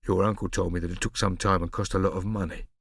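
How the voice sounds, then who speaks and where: A man's voice: low-pitched, fairly even intonation, quick pace, gravelly, husky, no echo, clean studio sound, heavily acted. A man voicing a proud, protective twelve-year-old boy who speaks earnestly and defensively.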